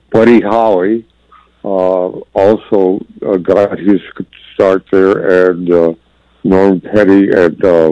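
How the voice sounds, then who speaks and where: A man calling into a radio show, talking slowly and haltingly with many fillers as he shares memories. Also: low-pitched, dark tone, no room sound. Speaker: caller. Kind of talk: phone-in call. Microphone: telephone. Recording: dull lo-fi phone line.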